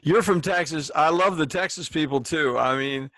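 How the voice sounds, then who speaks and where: A man's voice: a little high, normal speed, a bit bright, dry recording. Rambling male podcast host, dry close sound. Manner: rambling, digressive, many restarts. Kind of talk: casual interview chat.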